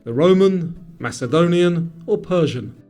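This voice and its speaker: A man hosting a quiz, reading scripted questions and instructions to the player in a clearly enunciated presenter's delivery, with music behind him. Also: fairly high pitch, animated, measured pace, a little mellow. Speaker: quiz show host. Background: background music.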